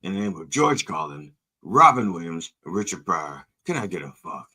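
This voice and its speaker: A hoarse man hosting a comedy podcast as a mock reverend, rambling, profane and interrupting himself. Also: sits low, very animated, normal speed, sharp tone.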